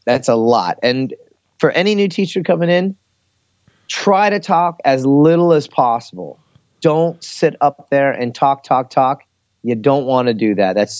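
A man chatting casually and giving teaching advice, explaining things in a hesitant, halting way. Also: medium pitch, a little mellow, no echo. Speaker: English teacher in Korea, talk show speaker. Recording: slightly dull, lo-fi audio.

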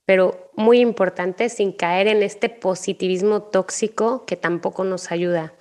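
A woman's voice: medium pitch, steady tone, unhurried, darkish tone. A woman hosting a conversational interview, speaking in an affirming, emphatic way. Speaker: podcast host, interviewer.

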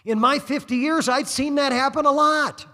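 A man's voice: very high voice, moderate pace, pushed and bright, big-room sound. A man in his sixties preaching a sermon at a church service, his lines dotted with "praise God" as he rises into fervent exhortation. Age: 60s. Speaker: pastor.

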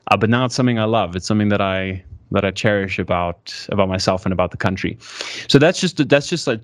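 A man's voice: fairly low pitch, fast talker, dry recording, clean studio sound. A man in his twenties from South Africa, speaking thoughtfully as an interview guest and often correcting himself mid-sentence. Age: 20s. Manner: reflective, thoughtful, with frequent self-corrections. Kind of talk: casual conversational interview.

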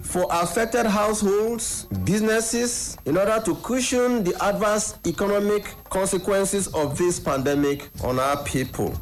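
A man's voice: high voice, measured pace, hardly any pauses. A man making a formal statement in a radio promo. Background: music.

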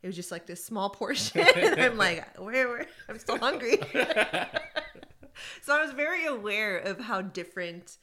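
A woman co-hosting a podcast, talking in a casual, animated way and laughing easily while she tells stories. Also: on the high side, a little slow. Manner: animated storytelling with frequent laughs. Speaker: podcast co-host. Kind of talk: casual conversation about workplace groups.

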